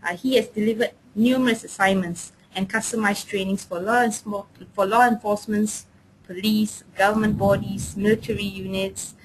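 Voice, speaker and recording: A woman with a medium-pitched voice hosts a webinar and gives a formal, prepared introduction. There is faint noise with a low rumble behind her. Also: fairly even intonation, normal speed. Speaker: webinar host introducing the trainer. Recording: noisy line with low rumble.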